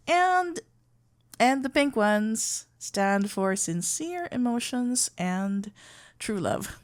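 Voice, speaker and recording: A woman playing a shy, tender florist best friend in love, talking haltingly and awkwardly in an intimate ASMR roleplay. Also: a little high. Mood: awkward, chatty. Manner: halting, stammering, awkwardly earnest. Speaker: audio roleplay performer.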